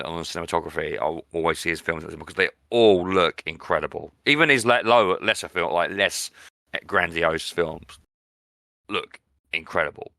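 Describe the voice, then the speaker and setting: A man's voice: sits low, gravelly, sharp tone, no echo, studio quality. A man hosting a podcast in a casual film discussion, conversational and repeating phrases for emphasis. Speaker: podcast host.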